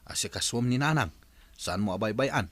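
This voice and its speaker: An actor with an Ilocano Filipino accent playing a humble, hopeful working husband with a reassuring way of talking, in a comedy drama. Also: male voice, medium pitch, expressive, moderate pace, fairly bright tone, dry recording. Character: humble hardworking husband, good-natured and hopeful.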